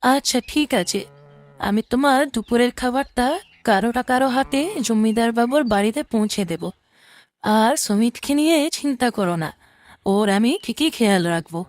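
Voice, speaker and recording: Woman voicing a high-voiced caring village wife. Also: normal speed, no room sound, lightly acted. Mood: reassuring. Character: caring dutiful young village wife and mother. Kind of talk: Bengali cartoon voice acting.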